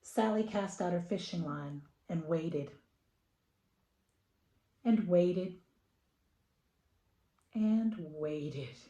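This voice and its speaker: A middle-aged American woman hosting a children's picture-book readaloud, talking casually and reading with expressive emphasis. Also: lowish voice, very slow, long pauses, roomy sound.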